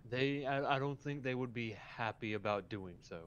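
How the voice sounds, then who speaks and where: A man playing a polite, measured police officer in a game roleplay, speaking formally and hesitantly over voice chat. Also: mid-range voice, muffled recording, a crowd in the background, lightly acted. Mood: hesitant, diplomatic.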